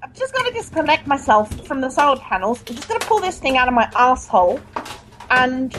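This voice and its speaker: A woman's voice: sits high, big pitch swings, fairly quick, noisy background. A woman voicing a whiny, lovesick, overdramatic female assistant in an improvised comedy sketch. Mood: scheming, excited.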